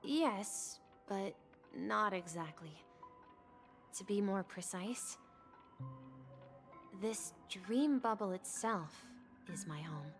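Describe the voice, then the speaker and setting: A wistful young boy from a video game story, recalls things gently. The delivery is slow and halting, at a middling pitch, with soft music behind it. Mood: gentle, reflective.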